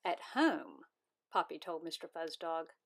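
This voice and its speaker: A woman reading her children's story aloud as its author, in expressive storybook narration. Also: low voice, a little slow, background noise.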